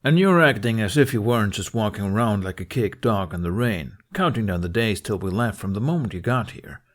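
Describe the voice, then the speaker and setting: Man playing an exasperated male guardian, fairly low, gruff, sardonic, protective, storybook character. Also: lively intonation, fairly quick, lightly acted.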